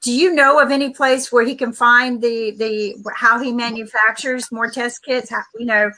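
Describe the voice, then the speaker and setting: A woman's voice: fairly high pitch, steady tone, normal speed, pushed and bright, rough recording. A woman hosting a casual talk show over a video call, rambling with many restarts. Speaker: host.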